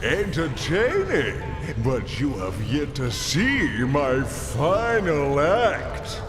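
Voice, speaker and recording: An actor playing a vengeful fantasy game villain, declaiming with theatrical menace. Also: male voice, fairly high pitch, huge pitch swings, extremely slow, exaggerated voice. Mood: mocking. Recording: long reverb, loud music behind.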